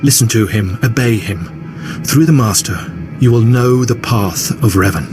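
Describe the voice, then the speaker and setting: An actor playing a reverent cult initiator in a video game, speaking in a measured, approving manner. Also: male voice, lowish voice, music in the background, natural voice. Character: reverent male cult initiator welcoming a recruit. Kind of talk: video game dialogue acting.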